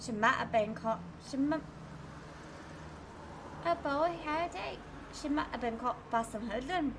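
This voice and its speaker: A woman's voice: high voice, expressive, a little slow, noisy background. Woman putting on a dry Australian bodyguard. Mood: calm. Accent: put-on Australian. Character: calm middle-aged male bodyguard.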